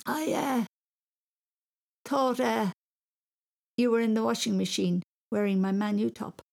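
A woman acts out an excitable little storybook boy in pyjamas, pitched up high and a touch gravelly. He is easily frightened and cheeky, and he exclaims and stammers with great indignation. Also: measured pace. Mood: confused, hesitant. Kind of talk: children's story reading.

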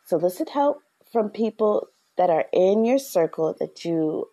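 Woman, soft-spoken, earnest, warm mellow voice, lowish pitch, casual podcast talk. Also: expressive, a little slow. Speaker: podcast host.

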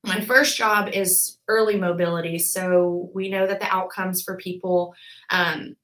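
Bright-voiced chatty young woman. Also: fairly low pitch, little pitch movement, measured pace, low-quality recording. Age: 20s. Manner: chatty, explains with lots of "like" and "um". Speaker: podcast guest, physical therapist. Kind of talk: casual interview chat.

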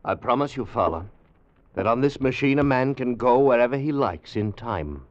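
A man's voice: mid-range voice, expressive, moderate pace, close to an everyday voice. Man acting an assured radio-drama inventor, muffled old recording. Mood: insistent, assured. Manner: assured, dramatic, emphatic delivery. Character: confident, driven male scientist inventor of a time machine. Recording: muffled old radio broadcast, faint noise.

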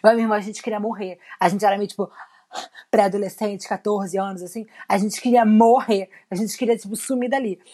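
A woman's voice: mid-range voice, steady tone, quick pace, warm tone. A young woman with a Rio de Janeiro Brazilian accent, chatting casually and telling anecdotes in slangy speech, acting out what people said. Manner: slangy storyteller, acts out quoted lines. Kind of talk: casual chat, animated anecdotes.

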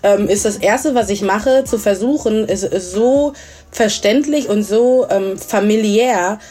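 A woman's voice: mid-range voice, measured pace, warm tone. A thirty-year-old woman from Germany chatting casually as an interview guest, mixing English words into her talk. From Germany, grew up in Essen.

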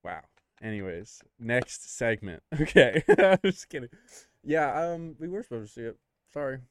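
A man in casual podcast banter as host, excitable and repeating phrases for emphasis. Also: medium pitch, normal speed, no echo.

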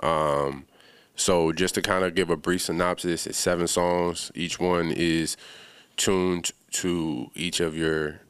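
A man's voice: low voice, flat delivery, slow, a little hiss or hum. A laid-back young man from the Bahamas, a music producer talking casually as a podcast guest, with lots of slang and fillers.

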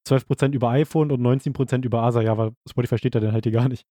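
A young man from Brandenburg co-hosting a podcast, matter-of-fact and reading out numbers slowly. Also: mid-range voice, steady tone, dark tone, no echo, clean studio sound. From Brandenburg, Germany. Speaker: podcast co-host. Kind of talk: casual podcast chat, reading out stats.

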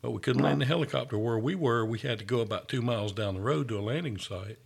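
A man's voice: medium pitch, quick pace, vocal fry, breathy edge. A man who works as a paramedic, telling stories in an earnest, easygoing way during a casual chat. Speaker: paramedic, podcast host.

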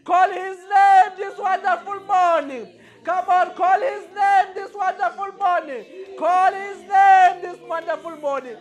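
A man leading a passionate, repetitive prayer as a pastor, often raising his voice to a shout. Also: extremely high pitch, steady tone, measured pace, dull audio, a faint crowd. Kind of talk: fervent spoken prayer and worship.

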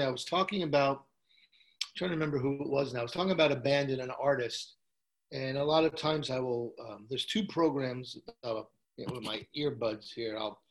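A man with a gravelly voice, a songwriter chatting casually as a guest, telling rambling stories at home. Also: medium pitch, low-quality recording. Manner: relaxed, rambling storytelling. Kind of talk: casual chat. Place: at home with guitar.

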